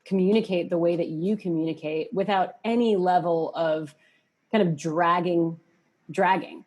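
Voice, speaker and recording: A woman hosting a podcast in casual chat, animated and confiding, often acting out her inner thoughts as she talks. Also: fairly low pitch, moderate pace, lo-fi sound. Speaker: podcast host.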